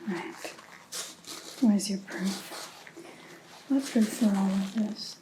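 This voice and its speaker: A woman playing a menacing, scornful vampire hunter in an ASMR roleplay, whispering slowly and softly, close to the microphone, as she questions a captive. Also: medium pitch, noisy background. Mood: scornful, disbelieving. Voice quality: soft, breathy whisper. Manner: slow, deliberate, mocking questioning.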